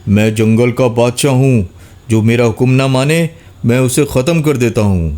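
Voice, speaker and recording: A man's voice: mid-range voice, a little mellow, lightly acted. A man voicing an arrogant, greedy lion in a children's story, speaking in a commanding way. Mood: haughty. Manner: boastful, commanding. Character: greedy, arrogant male lion, jungle king.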